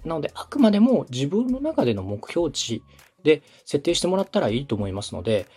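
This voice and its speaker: A man's voice: a little high, very animated, a little fast, dark tone, breathy edge, soft background music. A man from Osaka hosting a podcast consultation, giving polite, methodical, structured advice and explanations. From Osaka, Japan. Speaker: host, podcast producer coaching a client.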